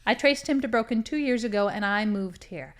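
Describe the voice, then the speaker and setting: A woman's voice: a little high, a little fast, natural voice. A woman acting as a boastful, theatrical villain, a cheerfully murderous crime boss in a comedy audio drama. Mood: scheming. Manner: gleeful, boastful, dramatic monologuing.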